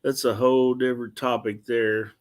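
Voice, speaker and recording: A man's voice: mid-range voice, little pitch movement, normal speed. A man talking casually in a group livestream chat.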